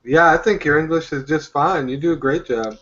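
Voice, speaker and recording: A man's voice: a little high, a little fast, dull audio, over a noisy crowd. A man co-hosting a casual live show, chatty and rambling, with lots of fillers as he recalls details.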